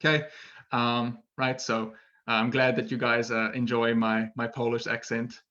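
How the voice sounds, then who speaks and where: A man's voice: medium pitch, little pitch movement, normal speed, bright, forceful tone, dull audio. A male English teacher giving a casual webinar lesson, talking in a playful way and tagging his sentences with "right?". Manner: chatty, tags sentences with "right?"; laughs at himself, playful. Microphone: computer mic for an online webinar.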